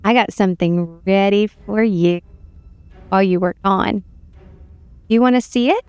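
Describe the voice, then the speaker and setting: A woman's voice: mid-range voice, a little slow, a little mellow, smooth, no echo, faint music behind, lightly acted. A woman voicing a menacing, eager princess who taunts and relishes violence in a dramatic game script reading. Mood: taunting.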